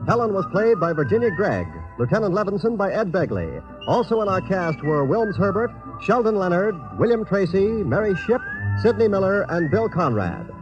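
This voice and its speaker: A man's voice: high voice, big pitch swings, moderate pace. A man announcing credits in the formal style of a 1940s radio broadcast. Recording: muffled vintage radio broadcast with music.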